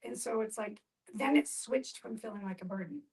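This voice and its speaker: Higher-voiced woman, heard from a distance. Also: normal speed, a little mellow. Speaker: discussion group participant. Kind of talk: earnest group discussion. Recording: distant mic in a room.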